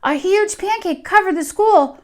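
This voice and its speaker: A woman reading aloud to young children in an expressive storytelling style, with dramatic emphasis. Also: very high-pitched, measured pace. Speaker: children's story reader, teacher.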